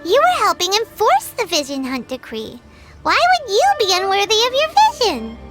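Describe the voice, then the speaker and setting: A woman voicing a small, curious, chatty childlike fairy companion with a squeaky voice in a video game. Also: huge pitch swings, moderate pace, loud music behind the voice, full character voice. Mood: puzzled. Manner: refers to self by name, inquisitive. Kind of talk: video game cutscene dialogue.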